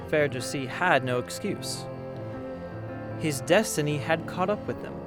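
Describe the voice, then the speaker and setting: A man narrating a historical tale as a storyteller, with theatrical, measured delivery. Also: medium pitch. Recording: music under the voice. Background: musical instruments playing.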